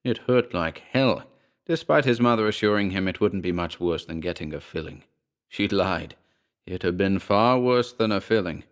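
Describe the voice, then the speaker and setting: Low-voiced male horror story narrator. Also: moderate pace.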